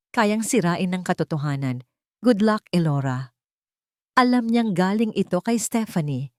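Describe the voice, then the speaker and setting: A woman narrates a dramatic romance story in a lowish voice. The recording is dry and close, with no room sound. She delivers it as scripted storytelling. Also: a little mellow, no room sound.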